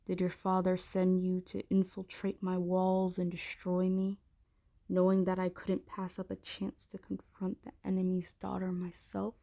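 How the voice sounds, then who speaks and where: Woman as menacing dragon queen, low-pitched, gravelly creak, imperious and taunting, intimate ASMR roleplay. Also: completely flat delivery, a little slow, mellow. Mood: contemptuous, suspicious. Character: haughty, menacing dragon queen, possessive and mocking.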